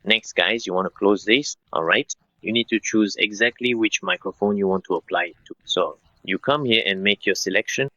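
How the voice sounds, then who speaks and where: A man in his thirties narrating a step-by-step software tutorial in an instructional way, speaking directly to viewers. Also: on the low side, moderate pace, hardly any pauses, no room sound, lo-fi sound. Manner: instructional, addresses viewers as guys.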